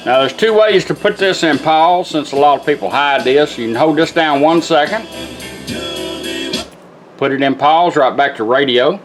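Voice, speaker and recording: A man's voice: on the high side, animated, normal speed. A man giving a casual, hands-on product demonstration in a plainspoken, folksy way. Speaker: car radio restorer demonstrating a product. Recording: music playing behind the voice.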